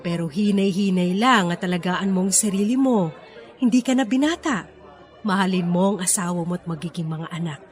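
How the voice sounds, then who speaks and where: A woman's voice: lowish voice, fairly quick, soft background music, lightly acted. An actress playing a proud, affectionate older mother with a Filipino accent in a radio drama. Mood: playful, caring.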